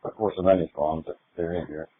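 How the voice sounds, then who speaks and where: Male officer, low voice, halting casual report, phone-like radio band. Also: steady tone, slightly rough, no room sound. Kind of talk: unscripted police radio report. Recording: tinny narrowband police scanner radio.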